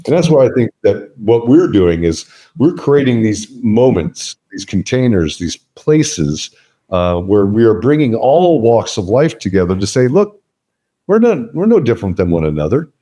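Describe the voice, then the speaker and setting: Low warm guy, loud and blunt, cusses a lot, guest on a chat podcast. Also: big pitch swings, moderate pace. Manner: emphatic, blunt, swears freely. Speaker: podcast guest, veteran business leader. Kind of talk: casual interview talk.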